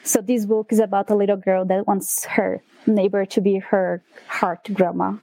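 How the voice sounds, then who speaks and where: A woman's voice: mid-range voice, level tone, moderate pace, gravelly, dark tone, no echo, low-quality recording. A young woman from Brazil with a Brazilian Portuguese accent, chatting casually as a guest author with lots of fillers and laughs. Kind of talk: casual interview chat.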